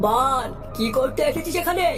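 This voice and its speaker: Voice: high voice, animated, normal speed, music in the background. A performer voicing a boastful, touchy village holy man with theatrical delivery in a comic cartoon dub. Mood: ominous. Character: gruff, boastful holy man chanting curses and prophecies.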